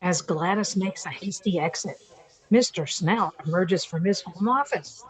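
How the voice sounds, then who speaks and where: A woman's voice: on the low side, measured pace, close to an everyday voice, rough recording. An older woman reading scripted narration as the announcer of a radio play.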